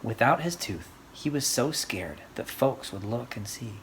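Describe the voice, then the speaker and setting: Highly animated children's storyteller. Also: unhurried, low-quality recording. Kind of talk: expressive read-aloud of a rhyming picture book. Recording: faint background noise.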